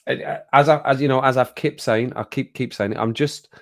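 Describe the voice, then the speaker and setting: A man hosting a casual live stream chat, rambling and laughing often, his voice a little croaky after a cold. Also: medium pitch, steady tone, moderate pace, dry recording, studio quality.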